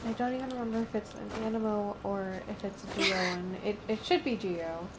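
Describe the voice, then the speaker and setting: A woman streaming a game live, giving casual, halting commentary as she thinks aloud. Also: medium pitch, fairly even intonation. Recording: loud background noise on livestream.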